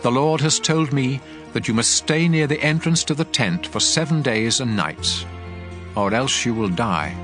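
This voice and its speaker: A man's voice: medium pitch, unhurried, natural voice. An actor playing a commanding male prophet, solemn and declamatory, instructing his priests in a dramatized scripture reading. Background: music.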